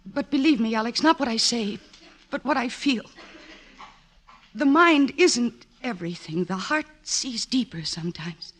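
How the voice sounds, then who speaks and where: A woman's voice: a little high, expressive, measured pace, a bit bright. Earnest, pleading woman psychiatrist. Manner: emotional, insistent. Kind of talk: 1940s radio acting.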